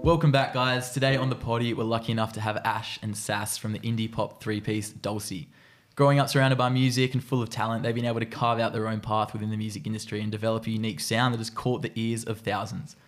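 A man's voice: lowish voice, fairly even intonation, quick pace, faint music behind. A man hosting a podcast, reading an upbeat introduction and then asking guests casual questions. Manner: upbeat, reads a glowing introduction. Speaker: podcast host.

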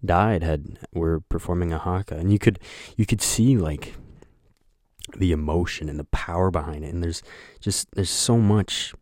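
Low-voiced young man, flat and rambling. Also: dry recording, clean studio sound. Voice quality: monotone, flat voice. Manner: rambling, hesitant, many fillers. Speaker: podcast host. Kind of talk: casual solo podcast chat.

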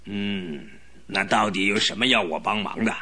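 Haughty old duke, blustering, scolding, bright voice, husky edge, big swings in pitch. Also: male voice, normal speed. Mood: indignant. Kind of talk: radio drama acting.

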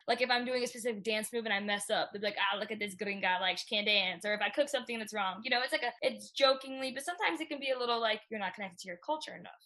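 Bright, fast-talking young woman. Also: a little high. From United States. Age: 20s. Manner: animated, says "like" constantly, acts out quotes with big jumps. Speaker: student guest. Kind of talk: casual podcast conversation.

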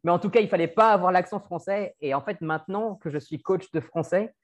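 Higher-voiced man, conversational. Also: brisk. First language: French. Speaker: podcast host and French language coach. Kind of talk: conversational interview.

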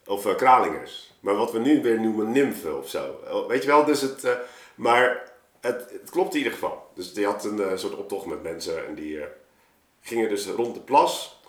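Guy w lowish voice telling stories, informal n animated, echoey room. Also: normal speed. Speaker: podcast guest, artist telling of a project. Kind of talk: casual anecdotal chat. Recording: roomy, echoing room sound.

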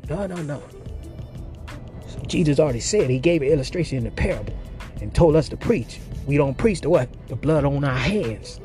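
A man delivers a fervent sermon, voice pitched a touch high. He works the crowd with call-and-response, dropping to near-murmurs and then leaping up into sharp cries. Soft music plays faintly behind him. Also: lively intonation, brisk. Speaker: preacher. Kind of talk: impassioned sermon.

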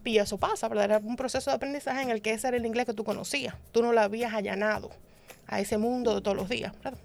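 A woman's voice: on the high side, steady tone, rapid-fire, creaky, faint music behind. A woman from the Dominican Republic on a panel, telling stories with many restarts and tag questions. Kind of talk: conversational panel discussion.

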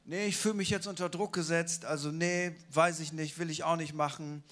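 A man's voice: a little high, steady tone, fairly quick, fairly bright tone. A man giving a casual, colloquial sermon who keeps asking the listeners rhetorical questions. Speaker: preacher.

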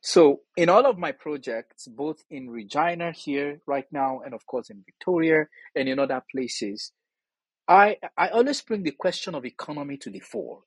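A man with a Nigerian accent speaks as an interview guest in a slightly high, husky voice. He is earnest and emphatic, explaining things conversationally. The sound is dry, with very little room in it. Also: expressive, moderate pace, dry recording. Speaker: interview guest, theatre artist and scholar. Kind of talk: conversational interview answers with anecdotes.

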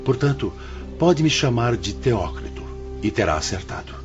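A man's voice: medium pitch, very animated, moderate pace, highs cut off, over music. An actor playing a serene, humble older male spirit who directs an afterlife hospital in a religious radio drama, speaking solemn, formal, patient Brazilian Portuguese. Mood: kindly, compassionate.

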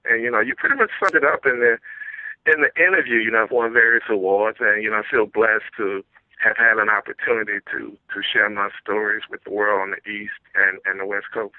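A man's voice: lowish voice, steady tone, fairly quick, dry recording. A man with a Louisiana accent answering conversationally as an interview guest, heard over a phone-like line. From Louisiana, United States. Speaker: interview guest, theater producer and playwright.

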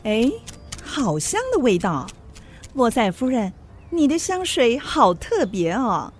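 A woman's voice: a little high, very animated, a little slow, breathy edge, faint music behind, full character voice. An actress playing an airy, superior society lady in a radio drama, with affected, drawling politeness. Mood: falsely sweet.

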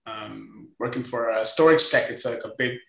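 A man's voice: medium pitch, moderate pace, vocal fry, a bit bright, reverberant, rough recording. A man in his forties from India, with an Indian accent, casually telling stories as an interview guest. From Chennai, India. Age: 40s. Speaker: interview guest, company co-founder. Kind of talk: casual conversational storytelling.

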